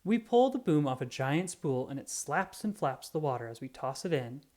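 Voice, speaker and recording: A reader reading a children's picture book aloud in an expressive storytelling manner. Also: medium pitch, normal speed.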